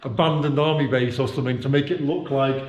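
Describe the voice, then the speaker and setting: A man's voice: medium pitch, fairly even intonation, fairly quick. A man from the UK with a British accent, chatting casually while giving a handheld-camera tour of his indoor office studio, rambling with lots of fillers and restarts. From United Kingdom. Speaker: vlogger. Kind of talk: casual walking-tour vlog. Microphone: handheld camera mic. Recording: echoey room.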